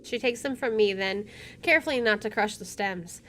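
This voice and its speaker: A woman's voice: mid-range voice, moderate pace, a bit bright, slight background noise. Wry woman narrating a game playthrough. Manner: wry, joking asides. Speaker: video game streamer. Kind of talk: reading game text aloud with casual commentary.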